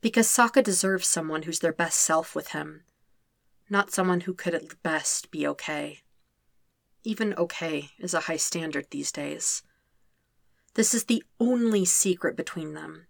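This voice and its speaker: Female narrator, low register, subdued and measured delivery, dry booth-like sound. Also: gravelly, dry recording. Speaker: fanfiction reader. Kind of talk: scripted narration of a podfic.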